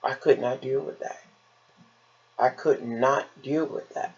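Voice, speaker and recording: An American woman from Chicago with a husky, gravelly voice, telling personal stories in a casual, chatty, emphatic and folksy manner, repeating phrases for effect. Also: rumbling low, fairly even intonation, measured pace, darkish tone, muffled recording, a little hiss or hum. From Chicago, United States.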